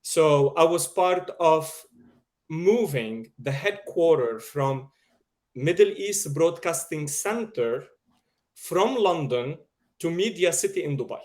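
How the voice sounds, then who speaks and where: A man with a Lebanese accent, a guest on a video call, speaking in a measured way about his media career. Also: fairly high pitch, lively intonation. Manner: slow and measured, with soft laughs. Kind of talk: casual interview talk.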